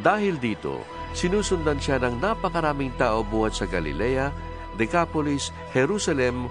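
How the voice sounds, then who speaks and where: A man narrating a dramatized scripture reading in a measured storytelling manner. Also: mid-range voice, very animated. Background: music.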